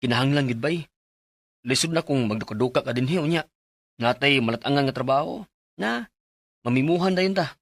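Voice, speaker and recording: A man's voice: mid-range voice, expressive, fairly quick, long pauses, no echo, clean studio sound. A male actor playing an earnest, respectful young office worker in a Filipino radio drama, with a Cebuano accent. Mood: casual, polite. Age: young adult. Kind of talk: Filipino radio drama acting and letter narration.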